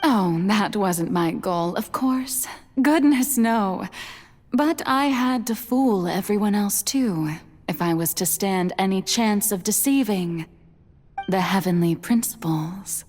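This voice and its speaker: A woman voicing a playful, elegant, theatrical goddess in a video game, with lilting speech and breathy asides. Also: medium pitch, lively intonation, slow pace, darkish tone, long reverb tail. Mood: amused, teasing.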